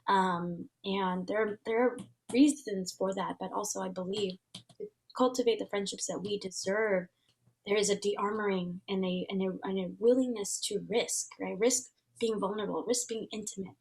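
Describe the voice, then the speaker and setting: A woman's voice: mid-range voice, steady tone, normal speed, rough recording. A woman in her thirties whose first language is Vietnamese, speaking as an interview guest in an earnest, searching way with many fillers and restarts. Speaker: guest, transformation facilitator. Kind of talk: casual reflective interview talk.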